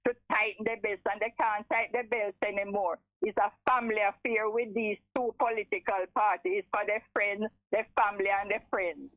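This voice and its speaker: A woman's voice: medium pitch, fairly even intonation, a little fast, hardly any pauses, no echo. A 77-year-old woman with a Jamaican accent and a strong voice, speaking emphatically and repeating phrases as an impassioned radio caller. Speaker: radio call-in listener. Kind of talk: impassioned live phone-in talk. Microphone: telephone. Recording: thin, narrow telephone line.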